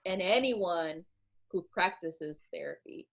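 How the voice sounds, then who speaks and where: Bright woman teasing in slow speech. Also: on the low side, expressive, vocal fry. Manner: teasing, laughs easily. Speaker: co-host. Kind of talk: casual live-stream chat.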